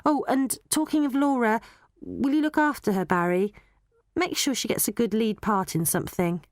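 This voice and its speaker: Storybook lead: young girl, voiced by a woman, high voice, polite yet bossy, sharp-witted, firm. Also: normal speed, no room sound, studio quality, natural voice. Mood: polite, commanding.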